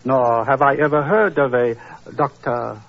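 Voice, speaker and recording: An actor playing a dignified older Chinese prince in a 1940s radio drama, speaking formally, deliberately and with philosophical courtesy. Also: male voice, medium pitch. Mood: courteous, wounded. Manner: formal, deliberate, hesitant pauses, moralizing. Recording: husky, muffled old radio recording.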